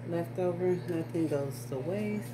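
A woman's voice: fairly low pitch, a little slow, music in the background. A woman casually talking through each step as she cooks dinner in her home kitchen, filmed by a camera on the counter. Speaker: cooking vlogger. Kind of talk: casual cook-along narration. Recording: noisy kitchen background.

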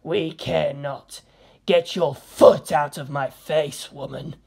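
Woman voicing a menacing, slow-speaking Chaos Marine. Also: low voice, fairly even intonation, gravelly, darkish tone, husky, heavily acted. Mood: irritated. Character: hissing bloodthirsty male Chaos Marine figurine, ranting.

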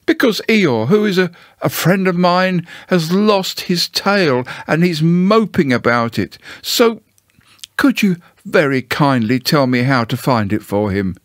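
A man voicing a small, humble, slow-witted storybook bear who hesitates and repeats his words in a polite, dreamy way. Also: fairly high pitch, expressive, dry recording, clean studio sound, voice pushed up. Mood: mournful. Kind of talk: storybook character voice.

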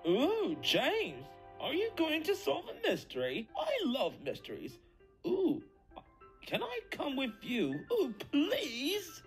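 Girl doing an excitable little diesel train guy for a cartoon dub, super eager, really bouncy pitch, light music. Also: slow pace, exaggerated voice. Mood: excited. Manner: gushing, eager, chatty. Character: excitable, childlike, eager male diesel engine sidekick. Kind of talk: fan comic dub voice acting.

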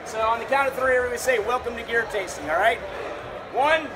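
A man with a hoarse voice addressing a crowd at a meet and greet. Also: very high voice, quick pace, noisy background. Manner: loud, rallying. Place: crowded store at an event.

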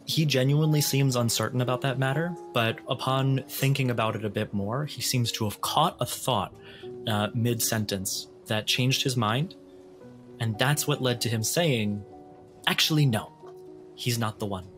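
A man's voice: medium pitch, normal speed. A man running a tabletop game as game master, narrating and improvising scenes for the players. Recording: music under the voice. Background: background music.

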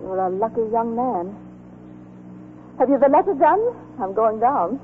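An actress in a 1940s radio drama playing a blunt, guarded but tender-hearted army nurse with brusque, clipped retorts. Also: female voice, fairly high pitch, animated, a little slow. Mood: gruff. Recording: muffled, echoey 1940s radio recording with hum.

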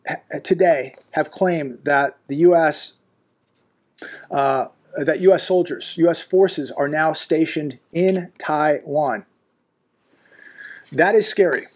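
A man's voice: on the high side, darkish tone. A man in his fifties, seated indoors in a living room, giving an unscripted, alarmed commentary monologue. He is emphatic and repetitive, with frequent restarts and fillers. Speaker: commentary vlogger.

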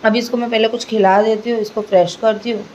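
Young woman, chatty home vlogger, slightly high voice, kitchen, faint background hiss. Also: steady tone, quick pace, a little mellow, roomy sound, far from the mic. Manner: chatty, talks to her baby in a sing-song coaxing way. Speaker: family vlogger. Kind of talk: casual home vlog chat.

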